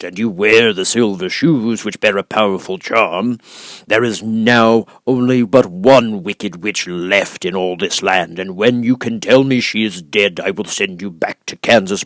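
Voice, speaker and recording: Oz, a booming, imperious giant head. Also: male voice, a little slow, fairly bright tone, no room sound. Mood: stern, commanding.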